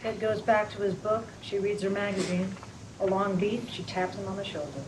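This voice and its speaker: A woman's voice: medium pitch, steady tone, normal speed. Woman reading stage directions far off. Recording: distant over loud crowd and noise.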